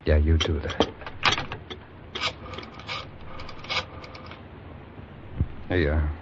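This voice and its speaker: A man's voice: extremely low pitch, little pitch movement, brisk, noisy background. An actor playing a cynical, mercenary hard-boiled man in a vintage radio noir, sardonic and slangy. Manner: sardonic, slangy tough talk. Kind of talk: 1940s radio noir acting. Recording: muffled old radio recording.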